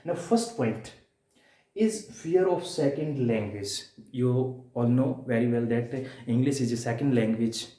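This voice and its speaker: Man, Indian accent, native Hindi speaker, English teacher, mellow tone, repetitive explanations, emphatic pauses, reverberant room. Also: mid-range voice. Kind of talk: spoken-English lesson to viewers. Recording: echoey room.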